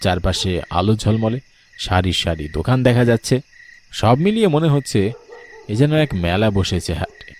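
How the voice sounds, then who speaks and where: A man's voice: lowish voice, big pitch swings, normal speed, a little mellow, slight background noise. A man reads a scripted ghost story as its narrator.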